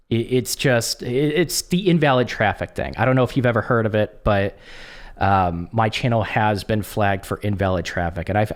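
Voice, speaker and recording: A man who is coming down with a cold, talking casually and haltingly to camera, with frequent restarts and ums. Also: fairly low pitch. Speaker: content creator.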